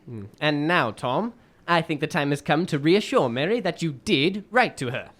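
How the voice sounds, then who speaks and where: A man playing a shrewd, commanding detective, lively intonation. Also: fairly high pitch, normal speed. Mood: shrewd, probing. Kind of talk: radio drama acting.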